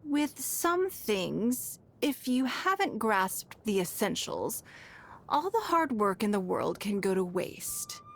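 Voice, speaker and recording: A woman playing a wealthy, shrewd businesswoman in a video game, speaking in a composed, elegant, measured and subtly teasing way. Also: mid-range voice, huge pitch swings, exaggerated voice. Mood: poised, measured. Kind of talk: scripted video game character lines. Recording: music behind the voice.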